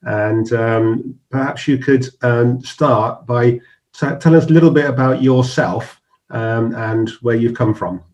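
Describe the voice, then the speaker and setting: A man's voice: mid-range voice, measured pace, a little mellow, soft background music. A middle-aged man hosting a business talk show, interviewing a guest in a friendly, hesitant way with frequent restarts and fillers. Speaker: host.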